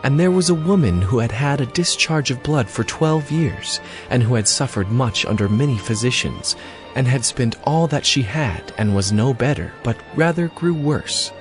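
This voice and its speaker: A man's voice: medium pitch, animated, moderate pace, faint music behind. A man reading scripted narration for a dramatized audio Bible.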